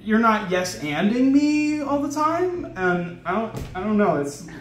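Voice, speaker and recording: Male YouTube host, off-script, hedging and conciliatory, halting casual talk, echoey room. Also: sits high, sing-song.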